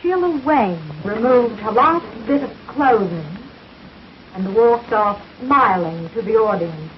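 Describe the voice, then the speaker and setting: An actress speaking in a 1930s film, in old-fashioned screen acting. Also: female voice, mid-range voice, lively intonation, slow pace. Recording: dull, hissy 1930s film soundtrack.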